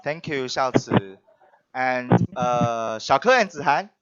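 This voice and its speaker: A man hosts an online performance event over a video call. He speaks at a slow, deliberate pace and often restarts his sentences. His voice sounds dull and muffled, with a lo-fi quality. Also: mid-range voice, a bit bright, slight background noise. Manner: halting, with frequent restarts and fillers. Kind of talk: live-stream hosting, introducing guests.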